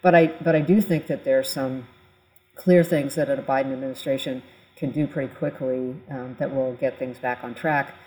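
Mellow-voiced woman explaining policy. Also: a bass voice, steady tone, moderate pace, low-quality recording. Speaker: podcast expert panelist.

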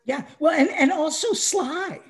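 Halting, stammering podcast talker. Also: sits high. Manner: halting, stammering, repeats words; frequently tags phrases with "right?". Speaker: podcast speaker. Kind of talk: casual discussion of music history.

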